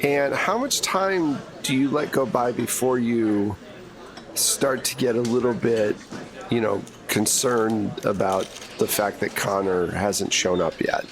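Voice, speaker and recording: A man narrating a tabletop roleplaying game as game master, in a measured, conversational way. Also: mid-range voice, over music.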